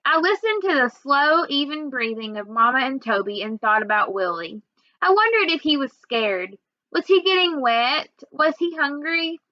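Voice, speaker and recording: A woman reading a children's novel aloud in an expressive storytelling way. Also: high voice, normal speed, no room sound, muffled recording. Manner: expressive, acts out dialogue. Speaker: reader.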